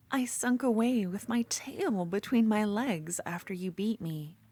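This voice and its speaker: A woman playing an obsessive, menacing yet tender duelist rival, bitterly infatuated, in an intimate, halting ASMR fantasy roleplay. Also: mid-range voice, darkish tone. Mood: obsessive, wistful.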